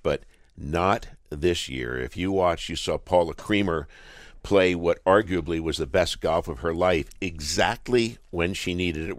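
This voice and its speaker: A man's voice: low voice, dry recording, studio quality. A man hosting a sports radio talk show, asking rambling questions in a conversational, jokey way. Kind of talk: casual sports radio interview.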